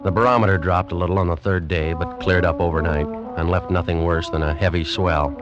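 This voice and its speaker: Man playing low-voiced ship's officer, ominous, measured, old radio suspense, music behind, muffled recording. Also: steady tone, natural voice. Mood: brooding, ominous. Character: uneasy ship's officer telling his suspense tale. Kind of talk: vintage radio drama narration and acting.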